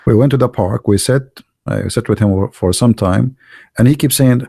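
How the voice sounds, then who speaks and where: Gravelly-voiced man, mellow and casual. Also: lowish voice, normal speed, no room sound, studio quality. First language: Arabic. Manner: animated storyteller, non-native English grammar. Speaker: livestream host. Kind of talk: casual anecdotes and chat with live viewers.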